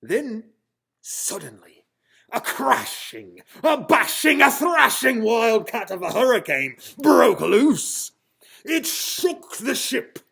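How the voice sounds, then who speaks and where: A man reading a children's storybook aloud in a theatrical, expressive style. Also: very high voice, slow, creaky, breathy edge. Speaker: storybook reader. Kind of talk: dramatic children's storybook narration.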